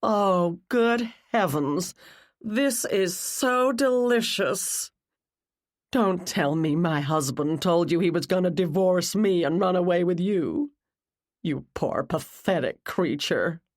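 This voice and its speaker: A woman's voice: lowish voice, unhurried, darkish tone. A woman voicing a cruel, wealthy wife in an audiobook, mocking others with bored, drawling disdain. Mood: gloating.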